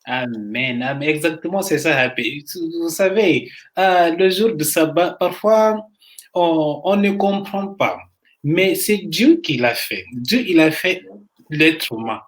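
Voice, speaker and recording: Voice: mid-range voice, expressive, slow pace. A co-host of a church live stream, speaking with eager exclamations in a preachy way. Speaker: co-host of a livestream. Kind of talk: casual live-stream chat.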